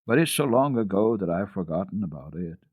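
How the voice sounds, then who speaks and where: A man's voice: deep voice, sing-song, a little fast, husky, dry recording, narrow, tinny audio, full character voice. A man voicing a timid, homesick little girl in a storybook, with a hesitant, artless manner. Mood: innocent.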